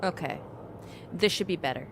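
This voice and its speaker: A woman's voice: lowish voice, lively intonation, normal speed. A woman streamer talking casually and rambling in a self-deprecating way while she plays. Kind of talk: casual chat while gaming.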